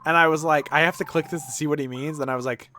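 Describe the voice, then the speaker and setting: A man's voice: fairly high pitch, fairly even intonation, fast talker, pushed and bright, a little hiss or hum. A man doing casual, rambling game stream commentary, giving opinions informally and sometimes reading lines aloud.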